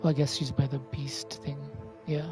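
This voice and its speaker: A man's voice: mid-range voice, flat delivery, moderate pace. A man giving casual commentary as he plays through a video game. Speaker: game streamer. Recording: music playing behind the voice.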